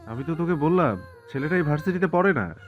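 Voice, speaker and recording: A man's voice: fairly high pitch, expressive, fast, highs cut off, faint music behind, lightly acted. A man playing a frightened young gang member in an audio drama, his voice shaky. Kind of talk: Bengali audio story acting.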